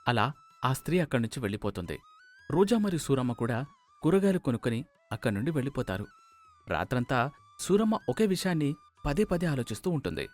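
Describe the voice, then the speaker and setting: Fast, animated male story narrator. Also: mid-range voice, soft background music.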